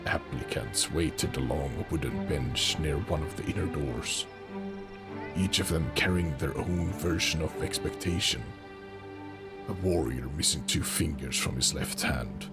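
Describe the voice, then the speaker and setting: Very deep, gravelly male narrator. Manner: slow, deliberate, brooding. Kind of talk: dramatic fantasy audiobook narration. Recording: music under the narration. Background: music.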